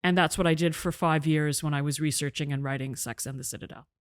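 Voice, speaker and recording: A middle-aged woman speaking as an interview guest, her voice sitting a little low for a woman. She explains her points in an articulate, measured way, restarting a phrase now and then. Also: fairly even intonation. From half Egyptian, half British; raised in Canada. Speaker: guest, journalist and author. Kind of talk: interview conversation.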